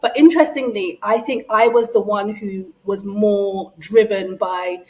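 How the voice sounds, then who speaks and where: A woman's voice: medium pitch, steady tone, a little slow, a little mellow, rough recording. A woman raised in London, talking about her upbringing as an interview guest, chatty with frequent fillers and easy laughter. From Nigeria, raised in London. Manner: chatty, lots of fillers and restarts, laughs easily. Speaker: guest, beauty brand strategist. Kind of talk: casual interview conversation.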